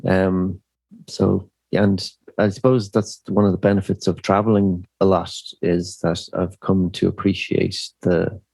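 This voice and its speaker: A middle-aged Irish man with a very deep voice talks slowly through his thoughts, stopping and restarting often with fillers. The sound is dry and close, with no room around it. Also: level tone, gravelly, mellow, slightly rough, no echo. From Ireland. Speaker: musician guest. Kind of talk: reflective podcast interview.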